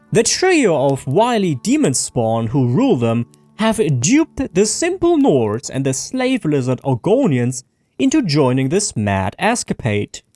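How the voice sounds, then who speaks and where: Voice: medium pitch, darkish tone. A narrator playing a haughty, zealous elven official who declaims propaganda in a pompous, officious manner. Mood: scornful, zealous.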